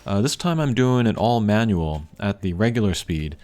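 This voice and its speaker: A man giving casual, unscripted gameplay commentary in a rambling, low-key way with frequent hesitant pauses. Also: expressive, a little mellow. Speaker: game video creator. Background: video game music.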